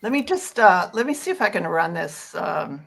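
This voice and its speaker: Creaky-voiced woman chatting casually. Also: on the low side, big pitch swings, moderate pace. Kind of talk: casual chat.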